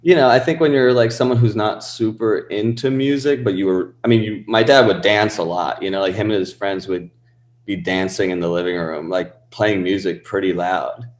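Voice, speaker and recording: A man in his thirties with a very low voice talks loosely, drifting through fillers and restarts. The sound is roomy and echoey, with the space audible around him. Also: steady tone, normal speed. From born in Pakistan, raised in Rhode Island. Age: 30s. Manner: rambling, many fillers and restarts. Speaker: musician guest. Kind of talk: casual interview chat.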